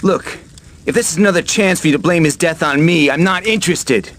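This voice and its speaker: Actor as blunt, brooding young soldier. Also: male voice, a little high, brisk, a bit bright, natural voice. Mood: bitter. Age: young adult. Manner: plain, guarded, blunt. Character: brooding young soldier hero, resentful son. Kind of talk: video game cutscene acting.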